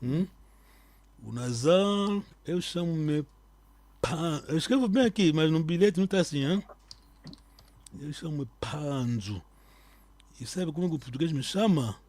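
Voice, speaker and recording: A male language teacher leading an online class. His voice sits a little high and sounds dry and close to the mic. He is patient, quizzes his students and slows down on each new word. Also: lively intonation, darkish tone, no echo. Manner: patient, quizzing students, repeating key words slowly. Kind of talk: live online language lesson.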